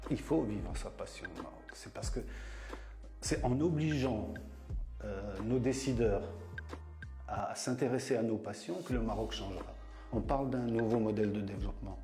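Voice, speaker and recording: A man's voice: on the low side, normal speed, warm tone. A middle-aged man born and raised in France who leads a community association, talking in an interview monologue and repeating words for emphasis. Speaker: association leader. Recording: echoey room with music.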